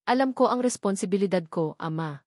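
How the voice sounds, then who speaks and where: A woman voicing a grown billionaire son, calm and restrained, holding his voice steady in a story narration. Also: mid-range voice, a little fast, no room sound, clean studio sound, natural voice. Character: restrained, determined billionaire son, adult man.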